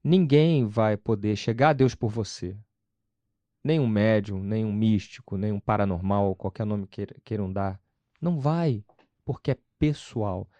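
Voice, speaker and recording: A man's voice: lowish voice, measured pace, dark tone, dry recording, muffled recording. Brazilian man explaining things emphatically. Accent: Brazilian, Carioca. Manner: emphatic, explanatory, asks and answers own questions. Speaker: interview guest. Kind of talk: conversational testimony about personal faith.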